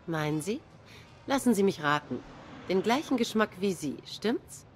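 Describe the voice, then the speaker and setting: A woman's voice: mid-range voice, lively intonation, normal speed, slight background noise, natural voice. An actress playing a grieving young cat woman in a noir game, guarded, bitter and sardonic in a subdued way. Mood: sardonic, hurt. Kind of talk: noir game dialogue acting.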